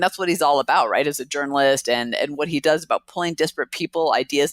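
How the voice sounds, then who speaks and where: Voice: mid-range voice, fairly bright tone, no room sound. A podcast host giving an upbeat, chatty introduction and then interviewing a guest. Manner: chatty, upbeat, quick laughs. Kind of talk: casual interview intro and chat.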